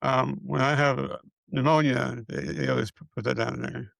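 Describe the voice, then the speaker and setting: A middle-aged man with a raspy voice, giving a casual update on his health. He stutters and repeats syllables, and he is short of breath as he struggles to get words out. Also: medium pitch, fairly even intonation, normal speed, fairly bright tone, dry recording. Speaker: video creator sharing his illness progress.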